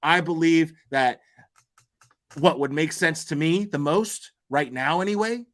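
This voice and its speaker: Blunt, higher-voiced male livestream commentator. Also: a little slow, fairly bright tone. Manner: blunt, argumentative, coarse-mouthed. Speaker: livestream host commenting on crime cases. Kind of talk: unscripted opinionated livestream commentary.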